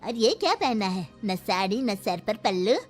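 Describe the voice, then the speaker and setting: A woman's voice: medium pitch, very animated, a little slow. A woman playing a bossy old village grandmother-in-law in a story, nagging and complaining. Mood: scornful scolding. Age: older. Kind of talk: dramatised Hindi moral story. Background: music.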